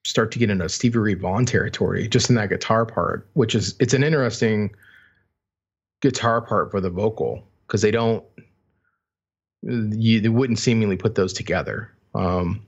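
A man's voice: fairly low pitch, normal speed, creaky, slightly rough. A man talking casually about music as a podcast host, on a slightly dull, lo-fi recording.

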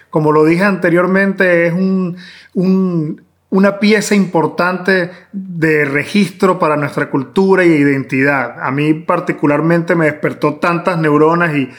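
A man's voice: fairly high pitch, fairly even intonation, a little slow, faint music behind. A man with a Venezuelan accent, speaking as a podcast host in a conversational interview.

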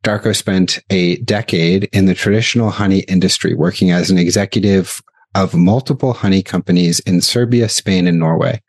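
A man hosting an interview podcast, reading a scripted introduction and then asking questions in a plain, steady way. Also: low voice, normal speed, no echo. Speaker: podcast host and investor.

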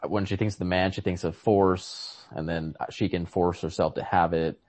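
A man in a casual book discussion, speaking haltingly as he searches for words, on a lo-fi recording. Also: low-pitched, steady tone, a little mellow, no room sound. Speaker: podcast host. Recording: lo-fi home recording.

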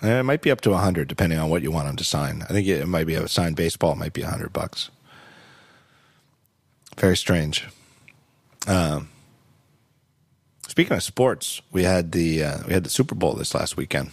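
Deep-voiced, slightly hoarse man rambling. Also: animated, normal speed, pauses often, dry recording. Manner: rambling, with many restarts and self-corrections. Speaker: podcast host. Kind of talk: casual chat.

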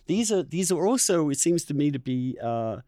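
A male podcast host in animated interview conversation, talking discursively and emphatically, building his points in repeated phrases. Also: fairly high pitch, normal speed, dry recording, studio quality.

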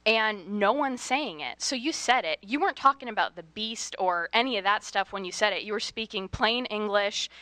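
A woman's voice: mid-range voice, animated, moderate pace, hardly any pauses, bright, forceful tone, no room sound. A woman in a casual discussion, explaining her points conversationally and often saying "like". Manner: explanatory, peppered with "like". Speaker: participant answering the host.

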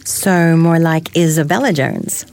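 An actress playing a teasing, affectionate wife in a naturalistic audio drama, wry and casual. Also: female voice, low voice, measured pace, gravelly, darkish tone. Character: teasing, affectionate pregnant wife, unimpressed by science.

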